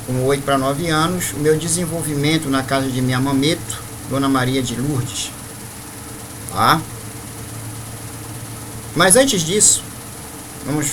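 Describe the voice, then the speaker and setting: A man in his fifties from northeastern Brazil, telling his spiritual life story to viewers at an unhurried pace with frequent tag questions. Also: mid-range voice, background noise. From Maranhão, Brazil. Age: 50s. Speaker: spiritual leader.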